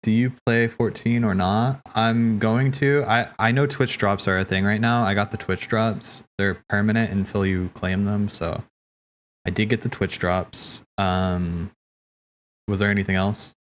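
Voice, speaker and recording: A man hosting a watch party, chatting casually in an animated, slangy, teasing way. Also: fairly low pitch, a little slow, a little hiss or hum. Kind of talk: casual live reaction chat. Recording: game music playing behind.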